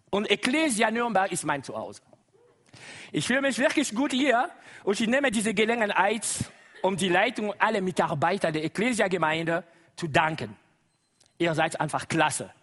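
A man's voice: high-pitched, pushed and bright. A middle-aged man from Congo with a Congolese accent, whose first language is Lingala, preaching a sermon to a congregation, exclaiming and laughing often. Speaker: guest preacher.

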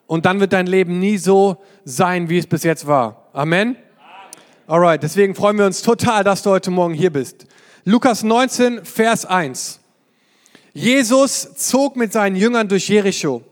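A young man with a high voice preaches to a congregation with eager, exclamatory energy. His words ring out through a large church hall with a long reverb trailing behind them. He speaks as a visiting pastor. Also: measured pace. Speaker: guest pastor. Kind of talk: sermon.

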